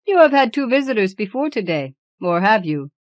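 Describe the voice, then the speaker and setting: A woman's voice: mid-range voice, big pitch swings, fairly quick, no room sound, pitched above the performer's own voice, natural voice. Woman voicing chatty, boastful skeleton Death, dry sound. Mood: knowing. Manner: garrulous, formal, storytelling.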